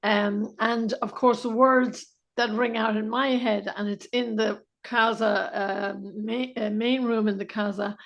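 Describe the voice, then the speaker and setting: A woman's voice: fairly high pitch, steady tone, a little slow, hardly any pauses, muffled recording. A woman interviewing a guest, affirming and speaking with gratitude. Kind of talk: spiritual interview.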